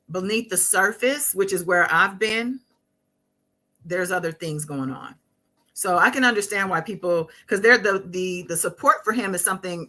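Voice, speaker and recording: A woman with a slightly low, notably bright and forward voice hosts a live commentary stream. She talks casually and conversationally, often stopping and restarting as she works out her thoughts while responding to her chat. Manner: conversational, halting, thinking aloud.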